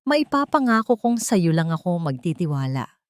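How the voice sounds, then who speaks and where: A woman's voice: fairly low pitch, sing-song, normal speed, clear, resonant tone, dry recording, clean studio sound. A woman voicing a remorseful, wealthy male CEO who pleads humbly and earnestly in a romantic audio drama. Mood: earnest vowing.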